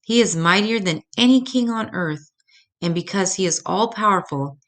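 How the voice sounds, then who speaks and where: A woman voicing a gentle, patient mother bear who teaches tenderly and reassuringly in a children's storybook. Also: mid-range voice, moderate pace, pitched above the performer's own voice, close to an everyday voice. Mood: reverent.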